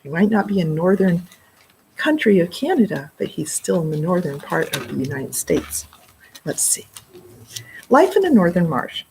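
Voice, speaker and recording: A middle-aged woman reads a story to children at an unhurried pace. Her lowish voice swings expressively, and she slips into casual explanations along the way. There is faint room noise behind her. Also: rough recording. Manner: expressive, explaining words and asides to young listeners. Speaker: children's librarian reading aloud. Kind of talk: read-aloud storytime with casual asides. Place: indoor library children's room.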